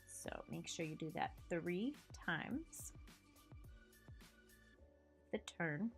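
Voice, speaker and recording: Slow nasal woman teaching knitting. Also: fairly low pitch, faint music behind. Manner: slow, relaxed, filler-heavy, counting stitches aloud. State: sick and nasal. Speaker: knitting instructor. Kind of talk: casual step-by-step tutorial voice-over.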